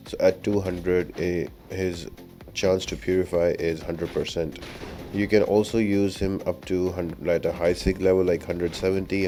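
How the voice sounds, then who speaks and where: Low-voiced man explaining a game. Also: mellow. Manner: explanatory, instructional. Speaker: gaming guide video narrator. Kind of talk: casual game walkthrough commentary. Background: faint music.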